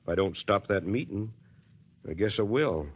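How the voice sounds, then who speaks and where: An actor playing a stubborn, harried small-town mayor in a blustering 1940s radio drama style. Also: male voice, fairly low pitch, lively intonation, moderate pace, lo-fi sound, close to an everyday voice. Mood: weary. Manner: blustering, exclamatory, stammering when flustered. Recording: noisy 1940s radio broadcast recording.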